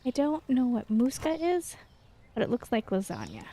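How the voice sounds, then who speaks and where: A woman's voice: on the high side, huge pitch swings, normal speed, dark tone, slight background noise. An American woman doing casual, chatty gameplay commentary, rambling and self-deprecating as she tells personal stories. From Texas, United States. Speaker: gaming video host.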